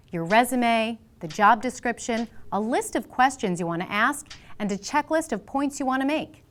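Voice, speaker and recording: A woman's voice: medium pitch, a little hiss or hum. A woman delivers a scripted instructional presentation as a career coach, emphatic and in short, punchy phrases.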